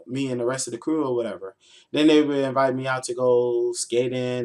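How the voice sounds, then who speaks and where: A 24-year-old American man telling stories casually as a livestreamer, in a slangy, playful, animated way. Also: mid-range voice. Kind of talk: casual, informal storytelling.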